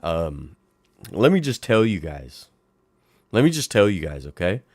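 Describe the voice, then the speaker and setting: A young man with a raspy voice, hosting a casual late-night livestream from home and chatting playfully with viewers. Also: deep voice, measured pace, no room sound, studio quality. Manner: animated, playful, talks to chat viewers. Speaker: livestream host.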